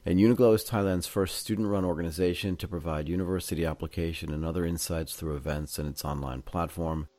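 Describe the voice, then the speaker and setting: Middle aged guy hosting an interview podcast, pretty deep voice, dry sound no room echo. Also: steady tone, fast, hardly any pauses, darkish tone. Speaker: podcast host.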